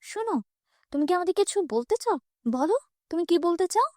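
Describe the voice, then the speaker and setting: A stern, watchful fairy voiced by a woman with a high voice, questioning and commanding in a storybook cartoon. The sound is dry and close. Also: lively intonation, a little fast, darkish tone, dry recording. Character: stern, watchful female fairy guarding a magic palace. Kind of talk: children's fairy-tale cartoon dub.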